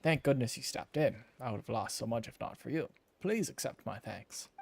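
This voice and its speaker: A man's voice: medium pitch, animated, normal speed, vocal fry, breathy edge, no room sound. A man voicing a flustered, grateful old alpaca park keeper in a video game, fussy and earnest. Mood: indignant. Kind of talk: reading game dialogue in character.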